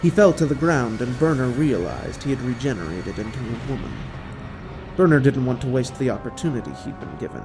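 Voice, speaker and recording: Male narrator for an audio drama: mid-pitched, dramatic scripted storytelling, soft music bed.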